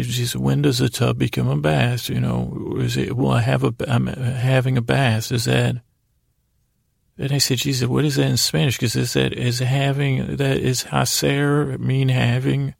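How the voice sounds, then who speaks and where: Very gravelly, creaky man, meandering and halting. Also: mid-range voice, level tone, dry recording, clean studio sound. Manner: meandering, halting, stammering, self-interrupting. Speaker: podcast host. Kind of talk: rambling improvised bedtime monologue.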